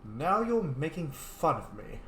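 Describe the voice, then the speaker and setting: A man's voice: on the high side, big pitch swings, a bit bright. A man casually commenting and joking while he plays and roleplays through a video game. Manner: playful, jokey, quick reactions. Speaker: gamer playing and roleplaying. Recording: echoey room with faint hiss.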